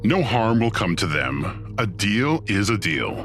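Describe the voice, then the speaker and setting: Wry devil boss, low-pitched and highly animated, theatrical wordplay, audio drama villain. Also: male voice, a little slow, soft background music, heavily acted. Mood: measured, conceding.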